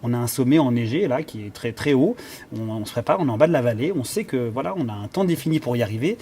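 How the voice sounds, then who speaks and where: A man's voice: medium pitch, animated, quick pace, warm tone, a little hiss or hum. A man who conducts and plays cello, answering interview questions in a thoughtful way, with many fillers and restarts as he searches for words. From Iran. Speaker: conductor and cellist.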